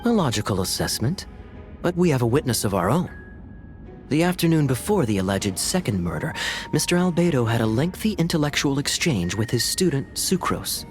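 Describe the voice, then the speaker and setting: Suave, wry male advocate played by an actor, lively delivery. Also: mid-range voice, brisk, soft background music, natural voice. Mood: polished, reasoning. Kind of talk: scripted fantasy game cutscene acting.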